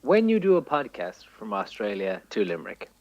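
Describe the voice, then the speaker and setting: A man's voice: lowish voice, wildly swooping pitch, normal speed, muffled recording, slight background noise. A man co-hosting a comedy podcast over a remote call, chatting casually in an emphatic, mock-serious way. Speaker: podcast co-host.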